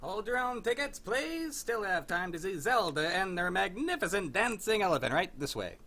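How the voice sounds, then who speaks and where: A man's voice: high-pitched, very animated, moderate pace, sharp tone, exaggerated voice. A man playing a circus ticket barker calling out to the crowd in a 1940s-style radio drama read. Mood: barking. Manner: sing-song barking calls.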